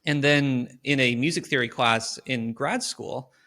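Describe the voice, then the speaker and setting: A man's voice: medium pitch, measured pace, sharp tone. A young man hosting a podcast, asking long, earnest questions with some fillers. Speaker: podcast host.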